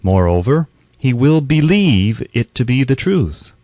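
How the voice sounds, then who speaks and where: A man reading a self-help audiobook as scripted narration, with deliberate, emphatic phrasing and pauses. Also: medium pitch, big pitch swings, dark tone, no room sound.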